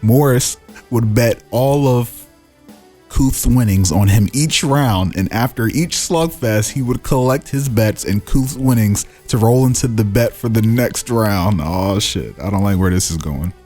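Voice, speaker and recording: Male host, low register, informal story reader who slips in casual reactions, faint music underneath. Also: a little slow, warm tone. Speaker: podcast host reading a fantasy role-play story. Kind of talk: casual scripted story reading with asides.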